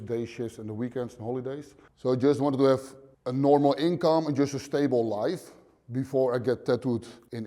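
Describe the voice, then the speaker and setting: Thirties Dutch man, gym owner, blunt halting interview talk, mellow dark voice, dim gym. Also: mid-range voice. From Netherlands. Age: 30s. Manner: plain, blunt, swears casually, short halting phrases. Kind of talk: casual interview answers.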